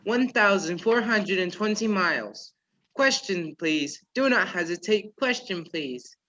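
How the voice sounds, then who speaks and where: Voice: medium pitch, animated, measured pace, bright, forceful tone, a little hiss or hum. A performer acting a precise music-hall memory man who recites facts formally in a radio play. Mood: showy, formal. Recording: dull lo-fi virtual recording.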